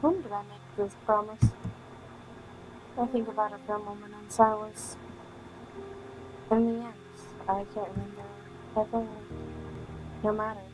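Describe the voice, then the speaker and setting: A woman streaming a visual novel, reading the text aloud with halting delivery and casual commentary. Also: mid-range voice, fairly even intonation, poor audio. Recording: music playing behind the voice. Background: music.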